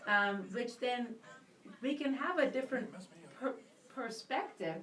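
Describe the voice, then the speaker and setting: A woman's voice: on the high side, measured pace, a bit bright. A woman co-hosting a live radio talk show, chatting and asking long, rambling questions and laughing easily. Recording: roomy and distant from the mic.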